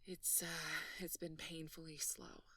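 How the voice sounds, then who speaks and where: Soft, low, breathy girlfriend roleplay by a woman. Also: level tone, dry recording, distant mic. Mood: sheepish, weary. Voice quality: soft, breathy, often near-whispering. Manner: halting, bashful, conversational. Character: nerdy, sleepy, flustered, playfully teasing writer girlfriend. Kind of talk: intimate ASMR girlfriend roleplay.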